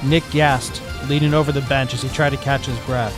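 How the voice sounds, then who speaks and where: A man's voice: medium pitch, fairly even intonation, moderate pace, over music. Man narrating a fan story. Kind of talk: fan fiction reading.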